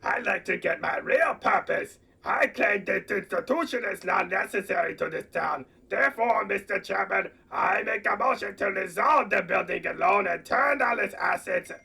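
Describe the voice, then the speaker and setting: A rich old banker villain for a comedic radio play. He is pompous and scornful, declaiming with animated swings and a gravelly edge. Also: high-pitched, normal speed, few pauses, far from the mic, exaggerated voice. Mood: scheming, forceful.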